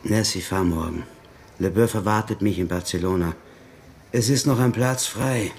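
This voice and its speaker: Low, gravelly stage magician. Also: male voice, unhurried, a little mellow. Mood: subdued, farewell. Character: grieving male stage magician, showman. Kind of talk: crime radio drama dialogue. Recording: clearly noisy background.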